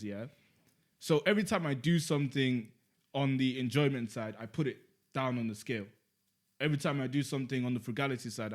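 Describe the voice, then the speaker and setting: A young man, a podcast co-host talking in casual chat, reflective and rambling, with lots of "like" and "you get me". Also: medium pitch. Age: young adult.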